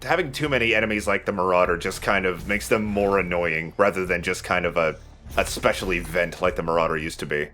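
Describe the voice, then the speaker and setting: A man with a fairly low voice gives casual video game commentary. He explains things informally, feeling his way through phrases, with faint game noise behind him. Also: fairly quick, bright, forceful tone. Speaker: Let's Play host. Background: video game sound.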